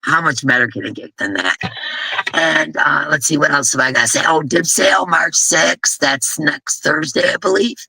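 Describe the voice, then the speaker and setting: A blunt, chatty woman hosting her crochet stream, her voice hoarse and gravelly, with a husky edge. The tone is very bright and forward, and the sound is dry and close. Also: low-pitched, moderate pace, no echo, poor audio. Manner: blunt, chatty, rambling, with frequent restarts. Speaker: host of a crochet live stream. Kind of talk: casual live-stream chat.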